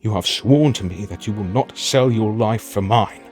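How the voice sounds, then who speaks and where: Guy acting tired proud older king, protective dad, deep-ish voice, very formal, fantasy audio drama w/ soft music. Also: moderate pace, lightly acted. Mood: stern, alarmed. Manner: formal, stately diction. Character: proud, weary aging king and protective father.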